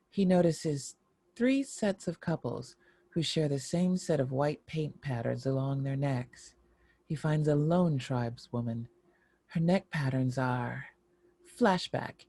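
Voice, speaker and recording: A woman narrates stage directions from a screenplay. Her voice is dark and relaxed, recorded close and dry. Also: deep voice, no echo.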